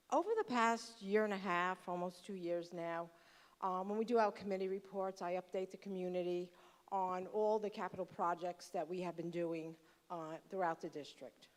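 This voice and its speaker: A woman explains capital projects to a school board in a steady, explanatory voice with a few fillers. Her voice carries a long reverb from the meeting hall. Her pitch sits in an ordinary middle range. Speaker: assistant superintendent for business. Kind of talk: formal presentation to a board.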